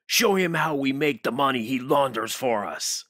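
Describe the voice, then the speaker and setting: A man's voice: medium pitch, lively intonation, normal speed, a bit bright, no room sound, studio quality, pitched above the performer's own voice. A man voicing a menacing, domineering old cartel boss who barks orders in a motion comic. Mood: menacing, bullying. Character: menacing, foul-mouthed old cartel boss, contemptuous bully. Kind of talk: motion comic character acting.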